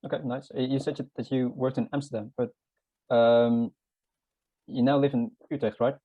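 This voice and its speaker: A man conducting a casual job interview, relaxed and informal, with frequent fillers. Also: mid-range voice, moderate pace, no room sound. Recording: dry, dull lo-fi audio.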